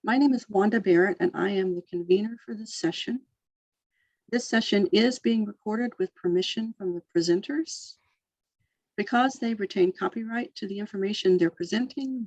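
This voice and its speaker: A woman convener reading scripted opening announcements for a conference session in a formal, even manner. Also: medium pitch, no room sound, highs cut off.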